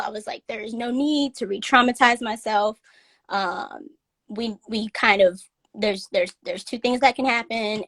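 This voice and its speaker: A woman's voice: medium pitch, fairly bright tone, dry recording. A woman from North Carolina with a light Southern accent, talking earnestly and reflectively as a guest on a casual live stream. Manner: earnest, reflective, laughs easily. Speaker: guest, content distributor.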